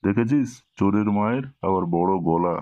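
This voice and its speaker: A man's voice: lowish voice, animated, normal speed, dry recording, lightly acted. A man voicing a domineering, scolding village tantric holy man in a Bengali comedy cartoon, with a preachy manner. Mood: scolding, threatening. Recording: dull lo-fi, very dry cartoon dub.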